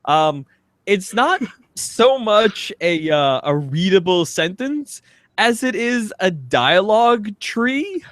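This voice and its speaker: A man's voice: sits high, sing-song, slow, fairly bright tone, no echo, clean studio sound. A man hosting a comedy podcast, bantering casually and teasingly and reading things out for laughs. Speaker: podcast host.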